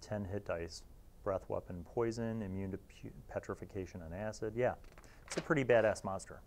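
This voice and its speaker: A man, hosting a casual review in an informal, halting way and sometimes reading aloud. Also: fairly low pitch, a little hiss or hum. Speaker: host reviewing old gaming magazines.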